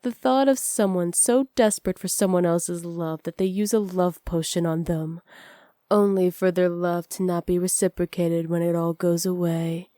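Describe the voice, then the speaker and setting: A woman playing a flirty, cruel evil witch in a soft ASMR roleplay, teasing and smug, close to the mic. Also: fairly low pitch, steady tone, dry recording, lightly acted. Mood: mocking amusement. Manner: teasing, smug, unhurried, often dropping to near-whispers. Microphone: close microphone, dry.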